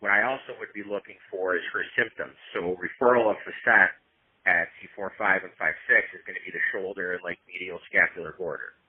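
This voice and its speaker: Low-voiced man explaining over the phone. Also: fairly even intonation, a little fast, slightly rough. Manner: explanatory, checks in with "right?" and "you know". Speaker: medical consultant advising a colleague. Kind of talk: casual professional phone consultation. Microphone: telephone line. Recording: tinny narrowband phone call, low audio.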